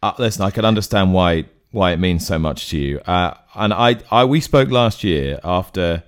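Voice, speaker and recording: A man's voice: low-pitched, expressive, normal speed, clean tone. A man hosting a racing podcast, asking long, rambling questions with restarts. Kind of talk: interview.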